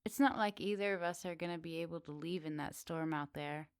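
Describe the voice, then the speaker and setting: A woman playing a flirty, teasing witch in a soft, intimate ASMR roleplay. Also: lowish voice, smooth, no echo, studio quality, close to an everyday voice. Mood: calm, coaxing. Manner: soft, teasing, giggly, unhurried. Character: teasing, flirty, lonely witch in a woodland cottage.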